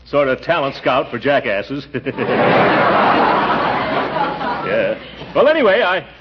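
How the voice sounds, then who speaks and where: Casting: male actor as pompous, hemming American uncle, very animated, old radio comedy, muffled noisy recording. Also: medium pitch, moderate pace, a loud crowd, lightly acted. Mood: blustering. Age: middle-aged. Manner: hemming, chuckling bluster. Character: pompous, blustering, good-natured middle-aged uncle. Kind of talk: 1940s radio comedy acting. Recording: muffled, noisy 1940s radio, studio audience.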